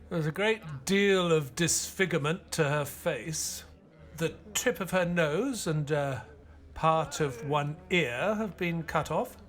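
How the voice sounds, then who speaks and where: Measured, high-pitched doctor. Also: male voice, fairly bright tone. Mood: queasy. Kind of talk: audio play acting and narration.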